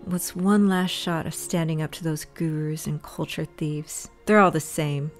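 A woman's voice: deep voice, fairly even intonation, measured pace, soft background music. A woman playing a fierce, resentful young witch, speaking an intense inner monologue with bursts of anger. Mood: brooding. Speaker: lead character. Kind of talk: audio drama acting.

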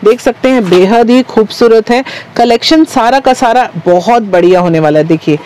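A woman's voice: on the high side, normal speed, dark tone, slight background noise, rough recording. A woman hosting a shopping vlog, presenting wholesale goods in a repetitive patter of stock phrases. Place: small wholesale market shop, indoors.

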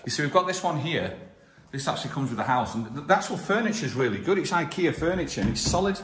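A man's voice: on the high side, big pitch swings, fast talker, a bit bright. A middle-aged man, chatting casually to camera and partner as he vlogs at home. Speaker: vlog host. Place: small to medium bare rooms indoors. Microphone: handheld camera at arm's length. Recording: echoey bare tiled rooms, some noise.

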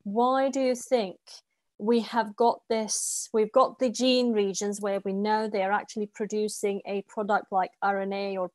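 A woman's voice: mid-range voice, a little mellow, no echo. Dry-sounding woman hosting a video call. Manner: long wandering questions with fillers. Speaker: interview host. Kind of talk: asking questions in a video call interview. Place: home room with light walls and plants.

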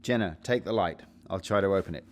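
An actor playing a decisive, wry spaceship captain in an audio drama. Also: male voice, low-pitched, fast, natural voice. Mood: focused. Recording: faint noise behind the voice.

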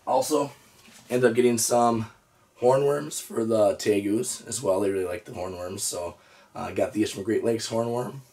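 Hoarse man, 30s, relaxed reptile-channel host, lowish voice, mic at a distance. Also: normal speed. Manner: easygoing, lots of "uh" and "so". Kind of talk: casual show-and-tell vlog. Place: indoor reptile room with storage drawers.

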